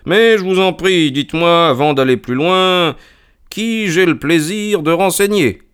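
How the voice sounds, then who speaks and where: A male narrator plays a shrewd, self-assured storybook detective. He speaks in a smooth, resonant voice, lifted a little and very articulate. His manner is courteous yet commanding and deliberate. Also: on the high side, a bit bright, voice pushed up. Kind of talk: audiobook character voice.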